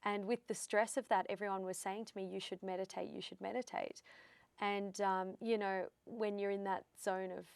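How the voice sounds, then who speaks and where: Australian woman with a gravelly edge. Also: mid-range voice, level tone, moderate pace, dry recording. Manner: chatty storyteller, acts out quotes. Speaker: psychic medium guest. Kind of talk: casual interview chat.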